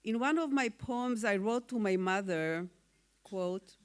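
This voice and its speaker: A woman whose first language is Hungarian, a poet giving a formal acceptance speech in a slow, measured, deliberate reading. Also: mid-range voice, expressive, clean tone. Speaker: award-winning poet.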